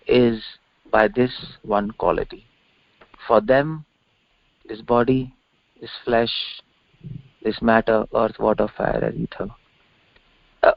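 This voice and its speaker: A gravelly, creaky middle-aged man preaches on scripture in an unscripted lecture. He drives points home by repeating phrases and asking rhetorical questions. The sound is dull, lo-fi conference-call audio. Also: medium pitch, no echo. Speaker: religious preacher and teacher. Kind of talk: scripture lecture, spoken off the cuff.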